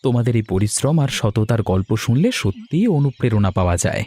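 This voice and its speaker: A man's voice: mid-range voice, animated, fairly quick, warm tone, lightly acted. A man voicing a friendly, appreciative customer in a cartoon moral story, earnest and polite. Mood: admiring, trusting. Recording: music under the voice.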